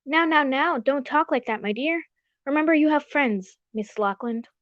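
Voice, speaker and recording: A woman playing a loyal, easily indignant gentleman sidekick in a radio drama, exclaiming in an old-fashioned, blustering way. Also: high voice, moderate pace. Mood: surprised, sympathetic. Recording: dull, roomy recording.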